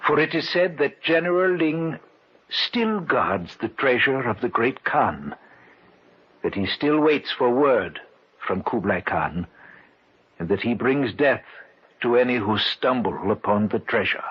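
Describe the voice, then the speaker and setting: An actor playing a solemn, mysterious foreign treasure seeker, speaking formally and with measured storytelling in an old radio drama. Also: male voice, medium pitch, animated, husky. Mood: grave warning. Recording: muffled, hissy old radio recording.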